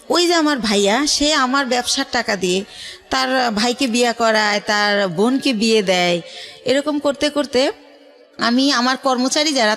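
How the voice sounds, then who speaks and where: A middle-aged woman with a Bangladeshi accent, telling her life story haltingly as a guest in a radio interview. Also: on the high side, a bit bright.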